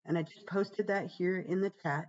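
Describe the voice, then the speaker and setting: A woman psychology instructor, hoarse and gravelly from being sick, walking students through a course page in a chatty, reassuring way with many "um"s. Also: steady tone, normal speed, a little mellow, dull audio. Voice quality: gravelly, deeper than usual. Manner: chatty, reassuring, fillers like "um" and "right?". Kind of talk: casual livestream course walkthrough.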